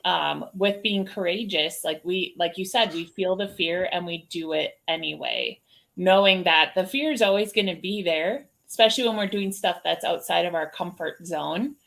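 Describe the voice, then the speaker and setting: Guest: woman coach, low-ish voice, sincere storyteller on a video call. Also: moderate pace, gravelly, a bit bright. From Wisconsin, USA. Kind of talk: casual guest interview.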